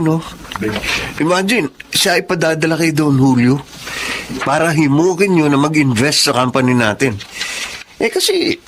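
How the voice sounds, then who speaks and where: An actor playing a friendly, admiring young office coworker in a Tagalog radio drama, with a Filipino accent. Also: male voice, on the high side, background noise.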